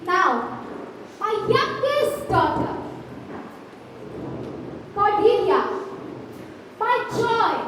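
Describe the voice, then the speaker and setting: Young woman playing proud old king, very high-voiced. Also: full character voice. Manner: slow, formal, imperious. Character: proud old king and father, quick to anger. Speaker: student actor. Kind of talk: school stage play, declaimed Shakespeare. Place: school stage play in a large hall. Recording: echoey hall from far off, faint noise.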